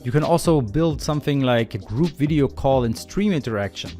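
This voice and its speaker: A man teaching programming in a screencast tutorial, calmly explaining the code step by step in a relaxed, conversational way. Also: mid-range voice, brisk, soft background music. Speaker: programming instructor.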